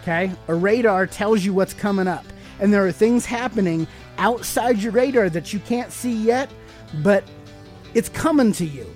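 A man's voice: sits high, moderate pace, darkish tone, faint music behind. Podcast host: American male, middle-aged, emphatic and sermonizing, speaks straight to listeners with rhetorical questions. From United States. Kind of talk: motivational talk to listeners.

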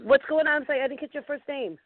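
A woman hosting a casual call-in show, excitable and quick to laugh and joke with callers. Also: high-pitched, dry recording. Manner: excitable, laughs often, jokes. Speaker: talk show host. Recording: phone-like lo-fi line.

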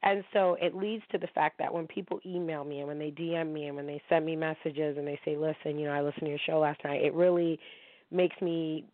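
A woman's voice: low-pitched, steady tone, brisk, no room sound. Drained woman radio host on a phone line. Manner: candid, emotional, talking straight to listeners. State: emotionally drained. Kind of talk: casual monologue. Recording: dry, tinny phone-line sound.

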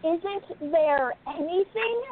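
A woman's voice: extremely high pitch, expressive, slow pace, phone-quality sound, exaggerated voice. A woman playing a determined young princess turned amateur sleuth in a radio play, earnest and insistent. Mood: urgent, insistent.

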